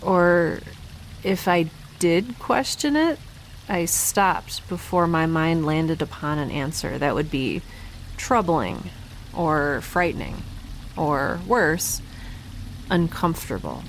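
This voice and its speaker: A woman playing a guilt-ridden young scientist keeping an audio log in a halting, reflective, self-questioning way, as in an audio drama. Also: low-pitched, expressive, slight background noise, exaggerated voice, low-quality recording. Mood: guilty, troubled. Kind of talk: audio drama monologue, introspective.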